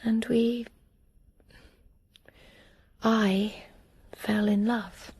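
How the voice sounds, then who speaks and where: An actress playing a frightened young English woman, recounting events in a soft, hushed, breathy way. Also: fairly high pitch, little pitch movement, extremely slow, no echo, full character voice. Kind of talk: radio drama narration and acting.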